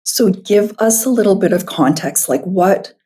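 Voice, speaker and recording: A woman hosting a podcast in an earnest, gentle way, her voice a little hoarse from a cold. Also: medium pitch, lively intonation, normal speed. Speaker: podcast host. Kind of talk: podcast conversation and scripted intro.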